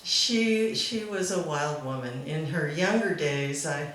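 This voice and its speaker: Slow-talking woman in a very echoey room. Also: sits low, a bit bright. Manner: amused, often laughing as she talks. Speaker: speaker at a memorial celebration. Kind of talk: informal anecdote told to a gathering. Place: back room at a live gathering. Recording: very echoey room, faint noise.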